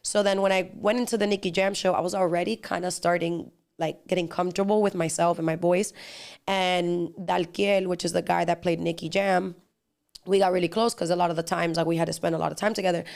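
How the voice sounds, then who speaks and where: A young woman with a Dominican accent and a raspy voice, chatting as an interview guest and telling stories with lots of fillers. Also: fairly low pitch, little pitch movement, darkish tone. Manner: rapid chatty storytelling with many fillers. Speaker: guest, singer and actress. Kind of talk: casual interview chat.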